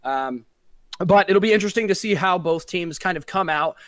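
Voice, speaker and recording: A man commentating an esports match, chatty and analytical, often pausing on fillers. His voice sits a little high, and the sound is close and dry with no room around it. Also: a bit bright, dry recording. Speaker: esports caster.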